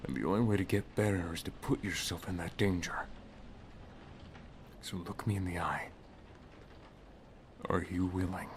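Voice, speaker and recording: A man playing a solemn, protective wizard master and lover in an ASMR roleplay, speaking softly and gravely, often half-whispering. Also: low voice, a little hiss or hum. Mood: challenging. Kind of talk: ASMR roleplay, dramatic monologue.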